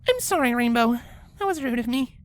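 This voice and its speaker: A woman's voice: a little high, lively intonation, fairly quick, dry recording, close to an everyday voice. A woman voicing a polite, bookish young female cartoon pony princess, speaking with patient, pedantic precision. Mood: apologetic, gentle. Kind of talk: character acting in a fan-fiction story reading.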